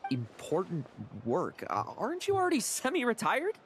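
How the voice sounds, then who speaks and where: A woman voicing a playful, sharp-edged young knight with a theatrical delivery in an anime-style video game. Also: on the high side, wildly swooping pitch, normal speed, a little hiss or hum. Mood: puzzled.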